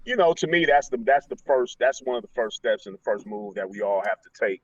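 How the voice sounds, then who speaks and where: A man's voice: medium pitch, lively intonation, brisk, highs cut off, a little hiss or hum. A man giving casual motivational talk as an interview guest, slangy and emphatic, often repeating phrases for effect. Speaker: guest, motivational speaker and author. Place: in a car during a remote call. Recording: slightly distant mic.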